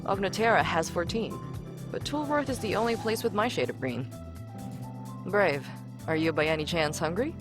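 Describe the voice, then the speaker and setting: Woman playing a lively, sassy alien spaceship mechanic. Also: on the low side, normal speed, lightly acted. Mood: friendly, playful. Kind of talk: visual novel voice acting. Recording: game music over the voice. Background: video game music.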